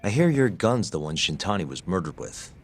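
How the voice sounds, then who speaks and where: Very deep, wry private investigator. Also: male voice, big pitch swings, soft background music. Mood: calm, probing. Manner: dry, sardonic, unhurried questioning. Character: cool, wry male private investigator, former lawyer, unflappable. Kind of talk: English video game dub acting.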